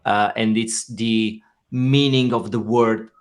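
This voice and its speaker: A man's voice: fairly low pitch, steady tone. Male podcast host. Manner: friendly, halting with many fillers. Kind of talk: podcast interview.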